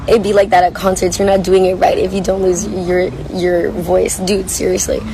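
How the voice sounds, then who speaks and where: Hoarse young woman, gravelly low voice. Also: completely flat delivery, moderate pace, noisy background. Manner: chatty, rambling, reads comments aloud. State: hoarse, lost voice. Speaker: livestreamer answering viewer comments. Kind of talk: casual chat. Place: coffee shop.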